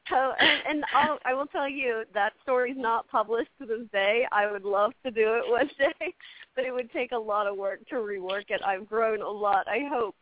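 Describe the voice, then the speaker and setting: A woman's voice: a little high, moderate pace. A woman author in her thirties, talking as an interview guest in a chatty, rambling way with easy laughs. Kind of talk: casual interview chat. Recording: dry phone-line sound.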